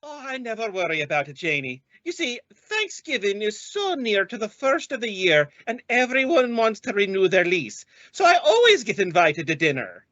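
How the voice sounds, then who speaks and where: A woman's voice: mid-range voice, sing-song, moderate pace, hardly any pauses, fairly bright tone, no echo. A woman playing a feisty, vain, easily offended landlady with a blustering manner in a radio comedy. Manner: blustering, indignant, swooping. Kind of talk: old-time radio comedy acting. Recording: dull lo-fi recording.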